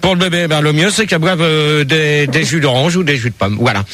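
A man's voice: fairly high pitch, a little fast, a bit bright. A man hosting a comic radio call-in, teasing callers and openly doubting them. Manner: teasing, incredulous, repeats himself. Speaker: radio host.

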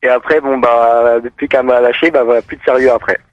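A man's voice: mid-range voice, fairly even intonation, fast talker, no echo. Young man, hesitant, over a dull line. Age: 20s. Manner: hesitant, filler-heavy. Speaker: guest radio host calling in.

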